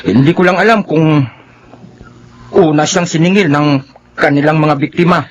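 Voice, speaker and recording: A man with a Filipino accent playing a respectful employee grimly explaining his boss's misdeeds in a halting way, in a radio horror drama. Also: fairly high pitch, lo-fi sound, close to an everyday voice. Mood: grave, confiding. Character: deferential male employee grimly confessing his boss's crimes.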